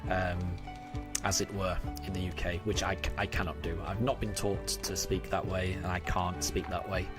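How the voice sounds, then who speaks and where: A fairly low-voiced man in his forties with a faded Yorkshire accent, streaming a game and chatting casually with his audience. He talks hesitantly, with lots of ums and restarts, and clear game music plays behind him. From Yorkshire, England. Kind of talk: casual live-stream chat.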